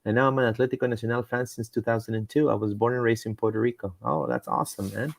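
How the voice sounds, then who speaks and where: A man's voice: mid-range voice, fast, creaky, dry recording. A man hosting a casual live soccer stream, rambling with lots of fillers and stumbles as he reads comments and rumors. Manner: rambling, with frequent fillers and repeated words. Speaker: podcast host.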